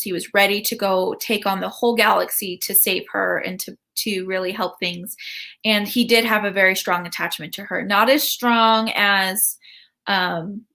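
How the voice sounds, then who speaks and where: A woman hosting a casual commentary video, chatty and full of ums and restarts, with a voice she calls high-pitched. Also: creaky, bright, forceful tone. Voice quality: says her voice is high-pitched. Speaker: host.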